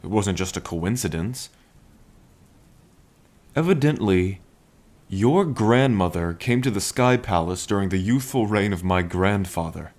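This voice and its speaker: Man voicing a deep, languid dragon king. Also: lively intonation, a little slow, pauses often, a little mellow, heavily acted. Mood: earnest, reflective. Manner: languid, teasing, theatrical, tender. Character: playful, lovestruck, greedy male dragon king. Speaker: roleplay voice performer. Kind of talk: intimate ASMR roleplay acting. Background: rain.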